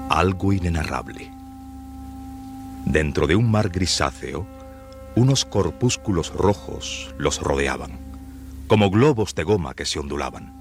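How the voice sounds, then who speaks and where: A man's voice: rumbling low, full character voice. A man narrating a suspense radio drama as a grave, solemn storyteller. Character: grave, solemn male storyteller of a science fiction tale. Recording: music behind the voice.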